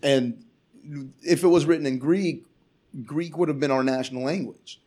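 Male comic guest, profane sarcastic rant, slightly high voice. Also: normal speed, mellow. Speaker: comedian guest. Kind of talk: casual podcast chat. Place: Portland area.

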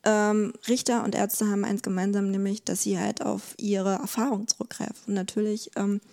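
Female expert interviewee, soft mellow tone, little melodic movement, thinks aloud with repeated words. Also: mid-range voice, flat delivery, gravelly. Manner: explanatory, halting, repeats words while thinking. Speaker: podcast guest, legal expert.

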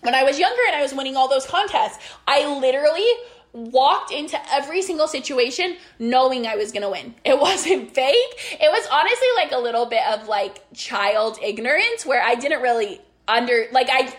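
A woman's voice: high-pitched, animated, moderate pace. A young woman coaching on business and belief in a casual, earnest monologue, rambling with restarts, her voice worn after being sick.

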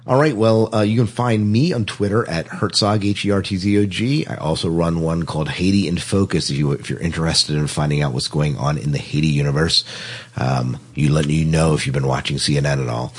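A man hosts a podcast in an informal, conversational way. His voice sits quite low and has a husky edge. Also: steady tone, fairly quick. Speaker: podcast host. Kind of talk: casual podcast wrap-up chat.

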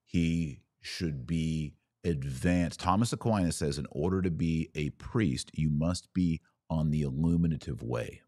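Male religious podcast host, extremely low voice, emphatic and earnest delivery, very dry sound. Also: a little slow, few pauses, a little mellow, dry recording. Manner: earnest, emphatic, repeats phrases for stress. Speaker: podcast host answering live viewer comments. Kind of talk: live religious commentary.

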